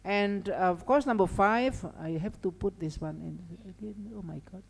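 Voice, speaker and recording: A woman's voice: mid-range voice, moderate pace, vocal fry, slightly rough. A woman with an Indonesian accent giving an academic lecture as a seminar speaker, conversational, often checking in with short tags like okay and right. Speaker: seminar speaker and language educator. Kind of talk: academic lecture with informal asides. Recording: long hall reverb.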